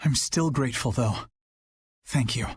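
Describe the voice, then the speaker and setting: An actor playing an earnest, dutiful young knight captain with a sincere, measured manner in a video game. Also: male voice, medium pitch, breathy edge, no echo.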